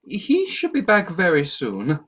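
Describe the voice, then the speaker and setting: A man acts out a flustered, deferential male lobby attendant in an audio play. His pitch moves around a lot as he hesitates and tries to please, and the recording is a little dull. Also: huge pitch swings, a little slow, a bit bright, a little hiss or hum, full character voice. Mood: polite.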